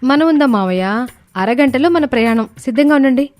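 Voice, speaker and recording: A woman voicing a miserly young daughter-in-law in a story, a brisk and cheeky schemer with a pert, emphatic delivery. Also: sits high, animated, a little mellow. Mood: brisk, cheerful. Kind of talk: animated moral story dialogue.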